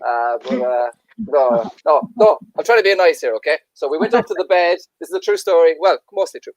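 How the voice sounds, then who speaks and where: Crude, self-mocking comedian. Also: male voice, fairly high pitch, fairly quick, no room sound, low-quality recording. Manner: self-deprecating, crude, deadpan asides. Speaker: stand-up comedian. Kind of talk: stand-up anecdote.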